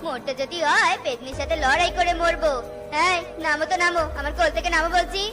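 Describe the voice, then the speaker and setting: A woman's voice: very high voice, fast talker, music in the background. A woman voicing a bold, scolding tigress, a village chief's wife, in a brash folksy manner for a children's cartoon. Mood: fearless scolding.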